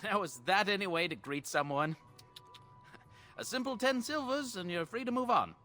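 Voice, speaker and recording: A man's voice: high-pitched, lively intonation, moderate pace, sharp tone, faint music behind. An actor playing a smug male bandit leader in a fantasy game, glib and theatrical with false courtesy.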